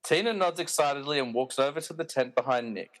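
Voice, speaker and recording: A man's voice: a little high, fast talker, a bit bright, poor audio. A man narrating a scripted comic radio play with wry, self-aware delivery.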